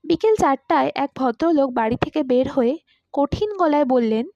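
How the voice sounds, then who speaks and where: A high female voice narrates a literary short story with dramatic expression. The sound is dry and close, with a slightly dull, lo-fi finish. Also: animated, normal speed, warm tone, no echo. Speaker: story reader.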